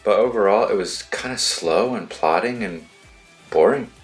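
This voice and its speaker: A man's voice: on the low side, normal speed, faint music behind. A man co-hosting a podcast, giving his opinions in casual conversation about games. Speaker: podcast co-host. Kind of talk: casual chat, video game discussion.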